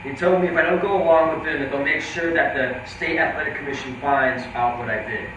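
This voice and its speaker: A man's voice: a little high, steady tone, fairly quick, close to an everyday voice. A man playing a troubled, defensive young boxer in a staged play reading. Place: indoor room, live staged reading. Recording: echoey room, distant mic, faint noise.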